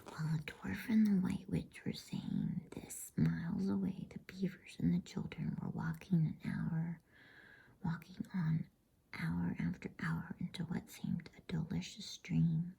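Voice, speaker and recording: Slow, whispering woman reading a bedtime story. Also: on the low side, level tone, mellow. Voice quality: breathy whisper. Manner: soothing, unhurried. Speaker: host and storybook reader.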